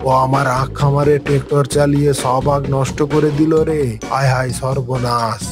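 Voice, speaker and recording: A man plays an angry tiger farmer from a children's cartoon. He scolds and exclaims in a bossy manner, staying within a narrow pitch band, with music underneath. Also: little pitch movement, normal speed, natural voice. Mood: angry, scolding. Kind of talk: cartoon voice acting.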